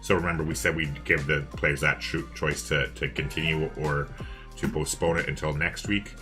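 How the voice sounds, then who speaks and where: A man with a very low yet bright, forward voice co-casts a game livestream. He talks casually to his chat, thinking out loud as he goes, with music playing behind him. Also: little pitch movement, moderate pace. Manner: chatty, thinking aloud to viewers and chat. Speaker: co-host of a livestreamed multiplayer strategy game. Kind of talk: casual live stream co-casting.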